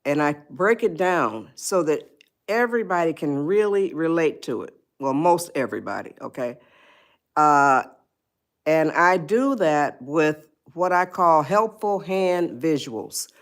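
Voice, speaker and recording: An elderly woman in her eighties talks to her live stream audience at an unhurried pace. She calls her viewers y'all, laughs easily and circles back when she loses her thread. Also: low-pitched. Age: 80s.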